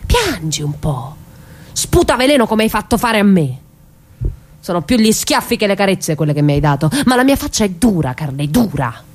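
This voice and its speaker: A woman's voice: medium pitch, big pitch swings, normal speed. An actress playing a proud, defiant mother fleeing her husband, in an emphatic theatrical style. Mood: defiant, scornful. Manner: emphatic, repeating words for force. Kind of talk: theatrical radio drama monologue and dialogue.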